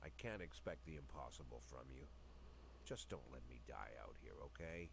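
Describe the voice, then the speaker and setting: A low-pitched, world-weary older police chief in a noir video game monologue. He is dry, brooding and bitter, with clear noise behind the recording. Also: animated, normal speed, full character voice. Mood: tired, pleading. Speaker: video game narrator and lead character.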